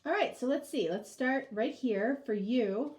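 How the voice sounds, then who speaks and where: A woman's voice: on the high side, unhurried, darkish tone. A middle-aged woman with a stuffy, nasal voice from a cold, giving a casual tarot reading and rambling through many fillers. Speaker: tarot reader.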